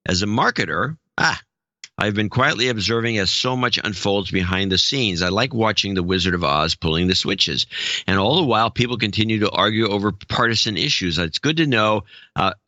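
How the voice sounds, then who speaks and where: Low bright-toned man, wry co-host. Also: expressive, moderate pace, no echo, muffled recording. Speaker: podcast co-host. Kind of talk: casual chat, reading donor notes.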